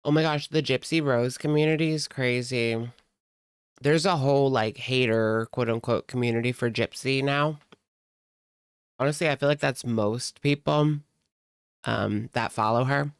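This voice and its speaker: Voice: mid-range voice, steady tone, a little slow, smooth, no room sound, clean studio sound. A streamer giving casual live commentary in a blunt, sarcastic, conversational way. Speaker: reaction streamer.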